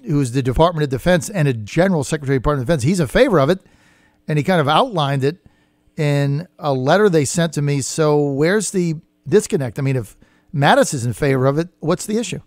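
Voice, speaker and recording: A man's voice: on the high side, lively intonation, quick pace, darkish tone, no room sound. A man hosting a political talk radio show, plain-spoken and opinionated, talking in short punchy sentences. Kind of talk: conversational political radio interview.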